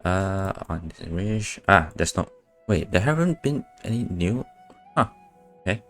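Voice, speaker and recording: A man's voice: low-pitched, expressive, a little slow, vocal fry, a bit bright. A man giving casual, unscripted live gameplay commentary as a streamer, muttering to himself. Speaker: game streamer. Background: faint game music.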